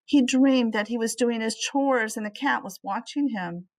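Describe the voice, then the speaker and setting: A middle-aged woman reading a children's storybook aloud expressively, with measured, deliberate phrasing, as a teacher would. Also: fairly high pitch, low-quality recording.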